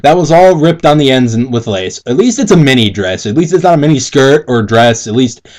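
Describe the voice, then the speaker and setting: A man's voice: mid-range voice, expressive, a little fast, a little mellow. A man co-hosting a mocking dramatic reading, casually commenting with giggly, stumbling, exasperated profanity. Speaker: co-host reading and mocking fan fiction.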